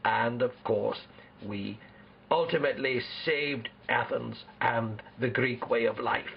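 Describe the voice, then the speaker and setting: Muffled noisy amateur video, guy acting as solemn spartan king, gravelly voice, formal speechy delivery. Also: medium pitch, slow. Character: proud, solemn ancient Spartan warrior king being interviewed. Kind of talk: amateur historical role-play interview.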